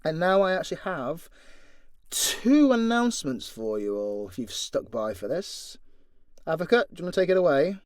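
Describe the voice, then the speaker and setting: Higher-pitched man, blunt and sweary. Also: sing-song, normal speed, dry recording, studio quality. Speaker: audio creator answering listener questions. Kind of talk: casual, unscripted Q&A chat.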